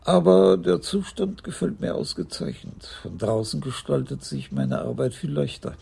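Wily aging police chief, gravelly and husky. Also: male voice, mid-range voice, wildly swooping pitch, moderate pace, mellow, heavily acted. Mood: pleased, brisk. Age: 60s. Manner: dry, evasive, teasing. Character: wily, unflappable, impish aging police commissaris. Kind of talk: German radio crime drama acting.

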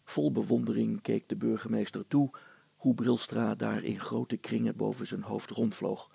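A man reading a storybook aloud as an expressive narrator. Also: on the low side, normal speed, vocal fry, husky, dry recording.

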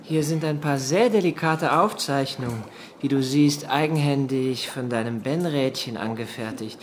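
An actor in a German radio drama playing a sneering rival who talks in a taunting, needling way. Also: male voice, mid-range voice, moderate pace, a little hiss or hum, close to an everyday voice. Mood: gloating. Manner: mocking, needling. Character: Adam, sneering, taunting young male rival.

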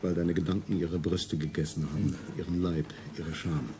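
An actor playing a grave, merciless rebel judge, intoning accusations solemnly in a radio play. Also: male voice, deep voice, level tone, normal speed, over music, natural voice. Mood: cold, solemn. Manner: accusatory, incantatory repetition. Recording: faint noise and ambience behind.

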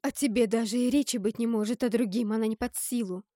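A woman's voice: medium pitch, fairly even intonation, unhurried, mellow, no echo, studio quality, lightly acted. A woman voicing a stern, protective fantasy heroine, firm, emphatic and tense, in an audiobook story. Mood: indignant.

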